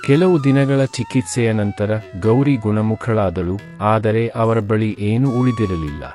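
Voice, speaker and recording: A man narrating a cartoon moral story in an even, matter-of-fact way. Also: fairly low pitch, a little slow, soft background music.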